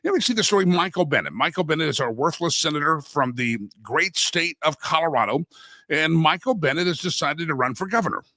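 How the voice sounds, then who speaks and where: Folksy male talk host, congested from a cold, bright and crisp, dry studio sound. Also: on the high side, lively intonation, brisk, dry recording. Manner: folksy, chatty, many restarts and asides. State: stuffy head cold, voice a bit sore. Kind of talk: casual political talk show monologue. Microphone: studio microphone.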